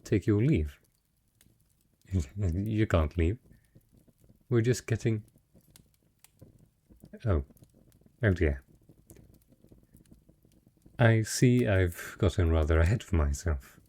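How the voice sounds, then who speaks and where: Fantasy audio roleplay: male actor as a wealthy dragon in human guise, possessive and courtly, low-pitched, dark mellow tone, eloquent. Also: expressive, very slow, pauses often, husky, full character voice. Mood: sheepish, courteous. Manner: refined, eloquent, effusive. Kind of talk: romantic fantasy audio roleplay addressed to the listener.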